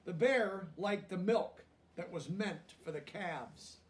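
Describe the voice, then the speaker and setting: Male children's story reader, high register, explains as he goes, recorded a little way off the mic. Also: animated, far from the mic. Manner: pauses to explain words and check understanding in plain language. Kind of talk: children's read-aloud with explanatory asides.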